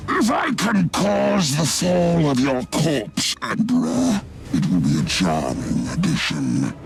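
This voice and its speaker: Game villain: megalomaniac male mad scientist, theatrical, wildly swooping pitch, gravelly. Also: sing-song, slow, heavily acted. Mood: gloating, grandiose. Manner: grandiose, theatrical villain delivery. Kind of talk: dramatic video game cutscene acting. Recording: music behind the voice. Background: game soundtrack music.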